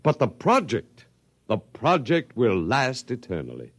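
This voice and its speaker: An actor playing a courteous, smug, older alien scientist, pedantic and precise, in a 1950s radio drama. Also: male voice, mid-range voice, wildly swooping pitch, measured pace, gravelly, dry recording, full character voice. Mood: suave, proud.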